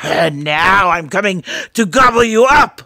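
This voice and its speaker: A woman voicing a great ugly troll in a storybook, with slow, booming threats and a growl. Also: expressive, no room sound, heavily acted. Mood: menacing. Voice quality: creaky, forceful growl. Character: great ugly roaring male troll, menacing bully. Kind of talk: storybook character voice.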